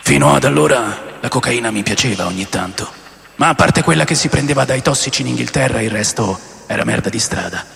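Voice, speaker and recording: A man playing a world-weary rock musician telling his wild memories in a radio drama, with a gravelly, creaky voice. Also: medium pitch, a bit bright, heavily acted. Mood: nostalgic, confiding. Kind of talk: dramatised memoir monologue in a radio play.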